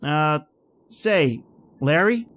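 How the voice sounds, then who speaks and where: Give a slightly high-pitched male voice for an actor playing a short-tempered man at a remote outpost. He is terse and grimly reflective, in the manner of an old radio thriller. Faint music sits behind him. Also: animated, extremely slow, a bit bright, exaggerated voice. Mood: conciliatory. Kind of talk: old-time radio drama acting and first-person narration.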